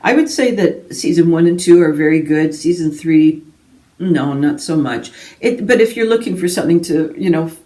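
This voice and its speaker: A woman's voice: deep voice, expressive, moderate pace, warm tone. A woman from Canada chatting casually and ramblingly to her viewers as a book vlogger, in a self-deprecating way. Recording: roomy echo, distant microphone.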